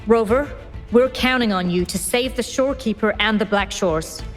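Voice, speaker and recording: A woman playing a brisk, dutiful operations officer in a video game, giving businesslike instructions. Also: medium pitch, faint music behind, close to an everyday voice. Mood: serious, concerned. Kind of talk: scripted game voice acting.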